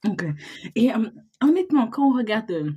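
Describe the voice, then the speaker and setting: A man's voice: very high voice, big pitch swings, normal speed, mellow, breathy edge, highs cut off. A man hosting a podcast interview, agreeable and hesitant, with frequent fillers. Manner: agreeable, hesitant, many euh. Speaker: podcast host.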